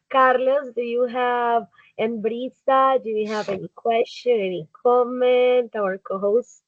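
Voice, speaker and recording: A woman hosting a video call, speaking slowly and warmly encouraging the audience, with laughs. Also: on the high side, steady tone, no room sound. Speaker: host. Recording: tinny dry phone-like line.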